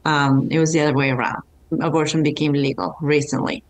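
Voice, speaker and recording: A woman's voice: deep voice, little pitch movement, fairly quick, no room sound. A woman from Argentina, speaking earnestly as a panel guest over a video call from home. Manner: earnest, with fillers. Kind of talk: live panel discussion. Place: home room.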